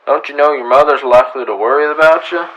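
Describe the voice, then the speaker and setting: A man's voice: a little high, fairly bright tone, highs cut off, close to an everyday voice. Man acting a steely, laconic Old West lawman. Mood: wry, kindly. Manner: laconic, dry, unhurried. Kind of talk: western radio drama acting.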